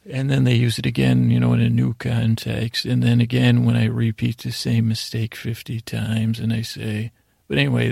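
A man with a heavily creaky, husky voice at a medium pitch hosts a bedtime podcast. He meanders and doubles back on himself in a halting, lulling monologue. The recording is dry and close, with no room sound. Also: a little mellow, no room sound. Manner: meandering, halting, self-interrupting, soothing intent. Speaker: podcast host.

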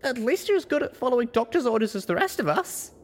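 A young female pony from a fantasy audiobook, acted out by a man, speaks in a very high, squeaky voice. She is earnest and easily flustered, with questions that swing widely in pitch. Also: sing-song, fast talker, heavily acted. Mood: anxious questioning.

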